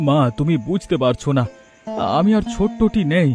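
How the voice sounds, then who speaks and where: A man acts out a young village boatman in a cartoon. His voice is fairly high, cocky and insistent, that of a lovestruck suitor. Music plays underneath. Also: expressive, moderate pace, darkish tone, lightly acted. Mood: pleading, insistent.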